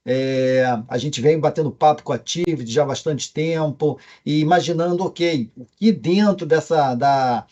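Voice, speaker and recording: A man with a marked Carioca accent gives event remarks from abroad over a video call. His voice is mellow, and he pauses often on fillers. The audio is dull and lo-fi. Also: a little high. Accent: Carioca Portuguese. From Brazil. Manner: hesitant, with frequent fillers. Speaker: company co-founder speaking remotely. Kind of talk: presentation remarks.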